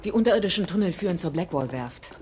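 An actress playing a proud, composed female rebel leader in a German audio drama, speaking formally with measured defiance. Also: lowish voice, animated, darkish tone, slight background noise, natural voice. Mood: measured, firm.

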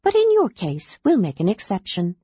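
Woman voicing an earnest, gentle librarian, lively, storybook reading. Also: mid-range voice, moderate pace, breathy edge, no echo, muffled recording, full character voice. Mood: kind. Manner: warm, earnest, careful.

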